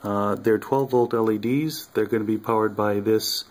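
A man casually showing his electronics project at a home workbench, talking haltingly with many ums and uhs. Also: lowish voice, steady tone, darkish tone. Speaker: hobbyist maker.

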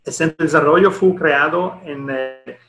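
Middle-aged italian guy presenting formally over video call, bit high voice, halting, echoey. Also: a bit bright, soft background music. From Italy. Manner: halting, searching for words in non-native Spanish. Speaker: deputy director at an international organisation, presenting. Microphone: headset on a video call. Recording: roomy echo on video call.

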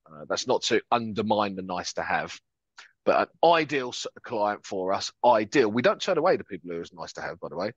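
A man's voice: fairly low pitch, big pitch swings, a little fast, slightly rough, dry recording. A man, a guest chatting upbeat and casually on a podcast over a headset video call. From France. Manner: upbeat, chatty, self-deprecating humour. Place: home office, video call. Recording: dull, slightly lo-fi call audio.

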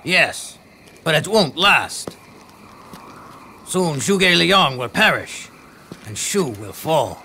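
Game role: calculating adviser, sharp voice, widely varied pitch, slight noise. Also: male voice, sing-song, drawn-out words, long pauses, full character voice. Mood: cool confidence. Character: calculating male strategist advising his lord. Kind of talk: dramatic video game cutscene acting.

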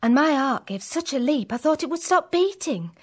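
An actress playing a nervous, apologetic young housemaid in a radio play. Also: female voice, high voice, lively intonation, moderate pace.

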